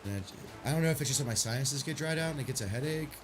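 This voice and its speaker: A man's voice: medium pitch, lively intonation, racing. A man streaming a game, chatting casually and rambling, stuffy from a sinus headache. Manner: rambling, laid-back, swears casually.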